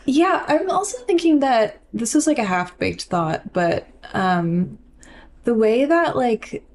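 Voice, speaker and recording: A woman's voice: a little high, big pitch swings, takes it slowly, a little mellow, slight background noise. A young woman co-hosting a casual podcast, informal and reflective, working out her thoughts as she talks with frequent "like". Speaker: podcast co-host.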